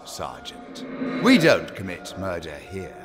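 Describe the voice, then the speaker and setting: An actor playing a suave, menacing pagan cult leader in an audio drama, with measured, grandiose delivery. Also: male voice, sits low, wildly swooping pitch, full character voice. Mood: smoothly sinister. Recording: music under the voice.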